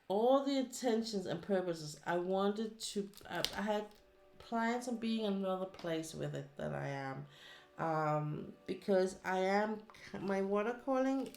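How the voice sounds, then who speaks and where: A woman's voice: medium pitch, darkish tone, roomy sound. A woman from Denmark with a Danish accent, chatting casually with her live stream viewers while she crafts, rambling with many fillers and restarts.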